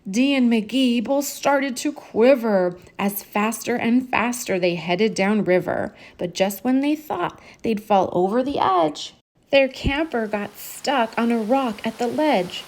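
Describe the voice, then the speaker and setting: A woman reading a rhyming children's picture book aloud in an expressive storytelling style, drawing out key words. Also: on the high side, slow pace. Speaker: storybook reader and host.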